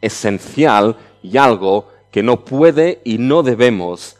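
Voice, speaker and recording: A man's voice: mid-range voice, lively intonation, slow pace. A middle-aged man from Barcelona with a mixed Catalan and Chilean Spanish accent, preaching a conference sermon in a conversational way and often ending phrases with a checking "¿ya?". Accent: mixed Catalan-Spanish with Chilean touches.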